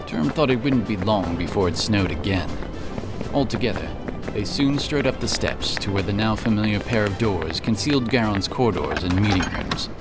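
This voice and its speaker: A man narrating a scripted fantasy audiobook as a storyteller. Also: lowish voice. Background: prominent background music.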